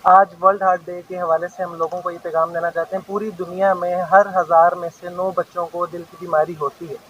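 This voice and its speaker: A man talks in a high, very level voice. He builds long, earnest sentences of advice, and the audio is dull with faint outdoor noise. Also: flat delivery, brisk, a bit bright. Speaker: pediatric heart specialist. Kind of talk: public health message to a reporter. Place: outdoor awareness walk. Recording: dull lo-fi news field audio.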